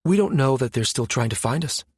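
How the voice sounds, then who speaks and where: A man voicing a serious, quietly urgent woman scientist with a measured, firm manner in a science fiction audiobook. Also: mid-range voice, animated, husky, dry recording, studio quality.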